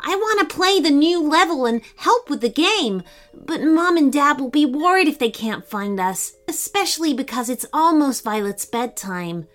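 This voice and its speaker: A woman voicing an excitable, curious young boy in a children's story, with an eager, exclaiming manner. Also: sits high, normal speed, soft background music, pitched above the performer's own voice. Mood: concerned.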